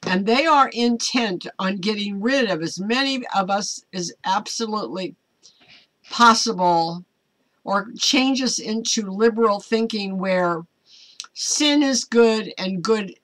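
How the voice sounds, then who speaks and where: An older American woman giving an informal devotional talk to camera in a halting, earnest manner, recorded in a small home office. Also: medium pitch, a bit bright, low-quality recording. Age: older, 60s or 70s. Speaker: online ministry host.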